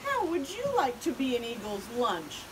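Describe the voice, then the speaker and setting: A woman's voice: on the high side, big pitch swings, moderate pace, rough recording. A woman voicing a small, earnest, bossy prairie dog in a storybook, with urgent exclamations. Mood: indignant. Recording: hissy background noise.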